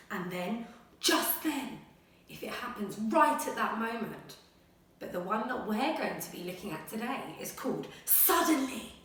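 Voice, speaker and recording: A woman primary school teacher speaking to children and reading a picture book aloud in a dramatic, expressive way. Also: on the high side. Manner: dramatic, expressive, with gasps and suspenseful pauses. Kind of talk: animated children's story reading and lesson. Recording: very echoey room, slightly distant mic.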